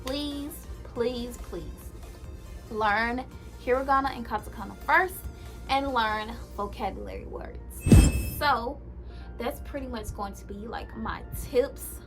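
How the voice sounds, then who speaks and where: A woman's voice: on the high side, takes it slowly, over music. A young woman vlogging casually about learning a language, chatty, with many fillers and emphatic repeats. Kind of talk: casual talk to camera.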